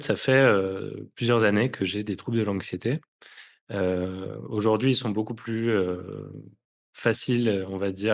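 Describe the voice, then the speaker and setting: Man, lower pitch, casual podcast host, conversational, halting and word-searching. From France (Nantes). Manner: hesitant, searching for words.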